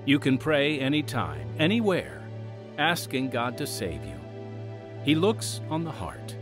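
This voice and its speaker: A man's voice: mid-range voice, wildly swooping pitch, unhurried. A man with an American accent hosting a scripted radio program, earnest and emphatic in a polished announcer's delivery. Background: music.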